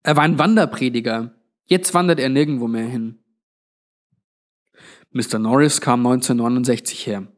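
A man's voice: medium pitch, normal speed, pauses often, close to an everyday voice. A man voicing a weary, blunt old undead man with a grave, resigned manner in a narrated story. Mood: grim, sad.

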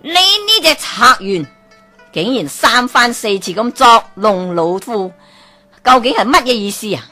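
A woman voicing a stern, shrewd old male geomancer in a folk tale, with slow, drawn-out exclamations. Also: fairly high pitch, animated, pushed and bright, soft background music, voice pushed up, exaggerated voice. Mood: furious.

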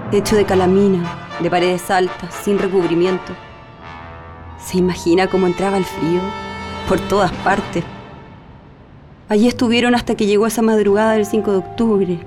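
A woman's voice: medium pitch, lightly acted. Actress as a grave, sorrowful woman remembering. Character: sorrowful woman insistently recalling atrocities against prisoners. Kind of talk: radio drama acting, reminiscence. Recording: faint music under the voice.

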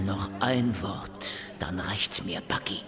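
Crime radio play: a rough, threatening lawman, curt, deep-toned, reverberant hall, music behind. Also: slow pace. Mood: menacing. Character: menacing, rough, hard-boiled tough lawman. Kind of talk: radio crime drama acting. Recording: long reverb under loud music.

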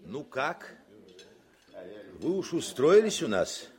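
An actor playing a genial, authoritative artillery commander with a husky voice in a Soviet radio drama. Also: male voice, on the high side, very animated, slow, darkish tone. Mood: genial, hearty. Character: genial, brisk artillery commander among his officers.

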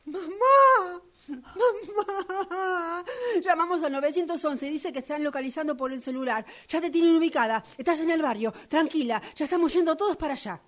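A woman's voice: very high voice, moderate pace, a bit bright. A woman playing a frantic, bossy grown daughter with an Argentine accent in an amateur radio drama, urgent and demanding. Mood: anxious, reassuring.

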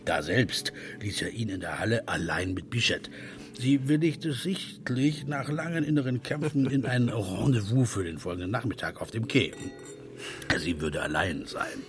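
A man's voice: fairly low pitch, lively intonation, normal speed, soft background music. A man narrating a literary radio play as one of its storytellers.

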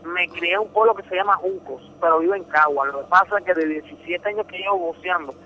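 A man's voice: high-pitched, quick pace, bright, forceful tone, dull audio, a little hiss or hum. A young Puerto Rican man speaking Spanish with a Puerto Rican accent, a boxing champion answering a radio interview in an earnest, emphatic way, often repeating words. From Puerto Rico.

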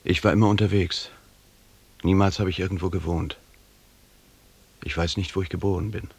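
An actor playing a proud, rootless captain and hardened wanderer in a German radio drama, with a gravelly, husky voice. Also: male voice, deep voice, fairly even intonation, moderate pace. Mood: brooding, reminiscent.

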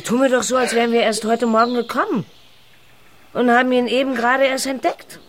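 Woman acting defiant, scornful wife for naturalistic radio drama. Slightly high voice, blunt delivery, noticeable background noise. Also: steady tone, fairly quick, a bit bright, lightly acted. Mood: insistent. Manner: blunt, confrontational. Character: sharp-tongued, defiant wife, pragmatic and scornful.

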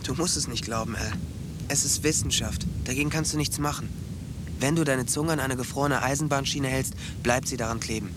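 A man's voice: medium pitch, brisk, lightly acted. An actor playing a dreamy, earnest teenage boy with a matter-of-fact way of talking, in a German radio drama. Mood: earnest, lecturing. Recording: ambient noise behind studio drama. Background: background ambience.

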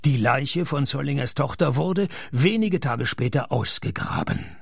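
An actor playing a wry, world-weary private detective in a radio play, husky and gravelly, with a dry, deadpan delivery. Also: male voice, mid-range voice, a little slow, dry recording, heavily acted. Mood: laconic narration. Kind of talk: detective radio play acting and first-person narration.

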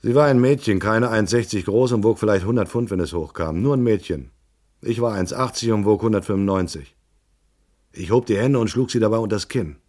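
An actor plays a tough male private eye telling his own story in a radio crime play. His voice is fairly low. The delivery is terse, laconic and matter-of-fact, in classic hard-boiled style. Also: a little fast, a little mellow, close to an everyday voice. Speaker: first-person narrator.

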